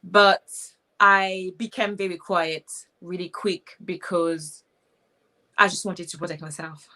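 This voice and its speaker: A woman's voice: on the low side, steady tone, takes it slowly, sharp tone. A young woman from Haiti with a strong Haitian accent, a guest speaking reflectively in a casual interview over a video call. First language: Haitian Creole.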